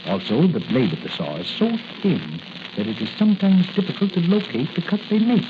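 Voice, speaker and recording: An actor playing a kindly, anxious older doctor, hesitant and fond, in 1940s radio acting style. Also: male voice, fairly high pitch, very animated, fairly quick. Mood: fussy musing. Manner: hesitant, musing, repeats himself fondly. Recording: very noisy, dull 1940s radio recording.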